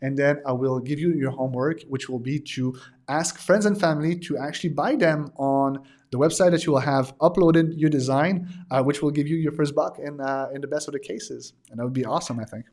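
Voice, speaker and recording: A man in his thirties speaks in a slightly raised voice. He chats casually to viewers as a digital art teacher, stopping often to say uh and restart. His voice is wearing thin and hoarse. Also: fairly high pitch, fairly quick, a little mellow. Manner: hesitant, many "uh" fillers and restarts. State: losing his voice. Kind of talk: casual livestream talk to viewers.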